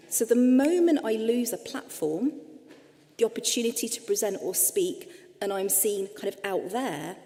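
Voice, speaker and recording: A woman's voice: mid-range voice, warm tone. A middle-aged woman with an English accent giving an earnest, explanatory presentation as a clinician, speaking directly to her audience. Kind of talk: lecture-style presentation with slides. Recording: long hall reverb.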